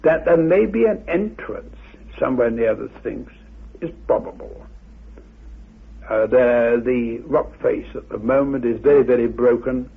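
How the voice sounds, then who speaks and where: A man with a very husky, gravelly voice explains his subject like an expert. He is formal, precise and measured, on a narrow, muffled radio recording. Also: mid-range voice. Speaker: expert commentator. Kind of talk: explanatory talk.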